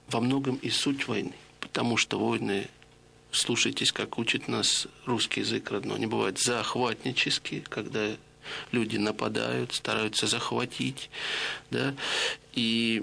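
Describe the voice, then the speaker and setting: A middle-aged man giving a reflective spoken lecture to listeners, measured and explanatory, pausing often on hesitations. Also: medium pitch, vocal fry, sharp tone. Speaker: lecturer on radio.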